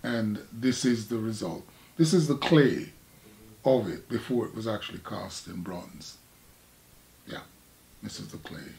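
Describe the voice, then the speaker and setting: An older man from Jamaica with a Jamaican accent, an artist recalling his past in a halting, reflective conversation. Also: on the low side, vocal fry, a little mellow. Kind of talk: casual recollection in conversation.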